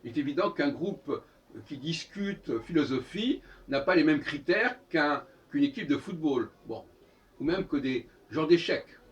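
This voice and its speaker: A man gives an improvised, opinionated lecture, halting and correcting himself, repeating phrases for emphasis. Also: on the high side, lively intonation, dull audio. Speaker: speaker giving a philosophical talk. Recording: distant microphone, noisy room ambience.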